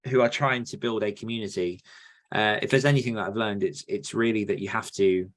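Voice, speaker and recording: Low-pitched man, chatty podcast host. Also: steady tone, a little fast. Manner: rambling, informal, enthusiastic. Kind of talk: casual podcast chat.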